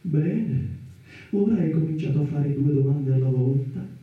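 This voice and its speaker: A man's voice: a little high, a little slow, natural voice. A goading inner voice acted by a man, teasing and insistent, in a radio play. It sounds muffled and distant, swimming in heavy echo. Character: provocative inner voice, a goading second self. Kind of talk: Italian radio theatre dialogue. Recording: echoey, distant, muffled radio drama recording.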